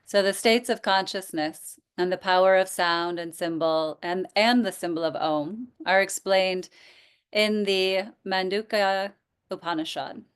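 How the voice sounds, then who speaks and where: Slow, smooth-voiced woman guiding relaxation. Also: mid-range voice. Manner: gentle, invitational, with pauses. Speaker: yoga nidra teacher. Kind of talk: guided relaxation instruction.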